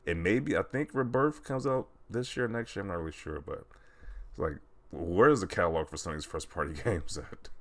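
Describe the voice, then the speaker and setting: A very low-pitched man gives casual commentary on social media posts. His delivery swings widely up and down, with a mocking, incredulous edge. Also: lively intonation, brisk. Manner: mocking, incredulous, often chuckling. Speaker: video host commentator.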